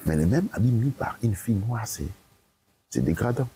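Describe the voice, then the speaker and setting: A man's voice: lowish voice, big pitch swings, normal speed, mellow, slight background noise. A man giving lecturing interview answers, emphatic and repetitive, often checking with "ok?". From Italy. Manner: emphatic, repeats his points, checks with "ok?". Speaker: guest speaker.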